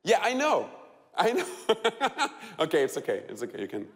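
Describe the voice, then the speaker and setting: A man with a German accent tells a personal story to a live audience, halting and repeating words, laughing often and asking the audience questions. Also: high-pitched, wildly swooping pitch, in a large hall. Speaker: speaker telling a personal story.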